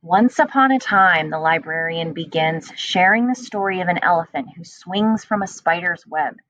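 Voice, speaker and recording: A woman reading a children's storybook aloud as a teacher, with expressive storytelling. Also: fairly low pitch, moderate pace, no room sound, highs cut off.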